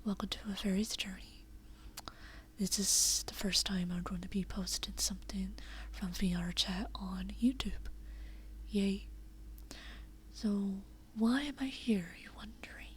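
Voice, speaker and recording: A young woman whispering quietly at night in a living room, chatting unscripted with many fillers and restarts. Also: mid-range voice, fairly even intonation, a little slow, pauses often, a little hiss or hum. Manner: rambling with frequent fillers and restarts. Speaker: VRChat vlogger. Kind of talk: unscripted casual chat.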